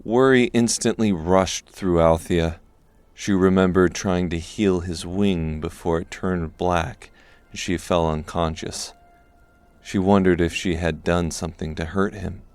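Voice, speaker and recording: A man reading scripted narration for a dark fantasy audiobook. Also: low-pitched, steady tone, measured pace, dry recording.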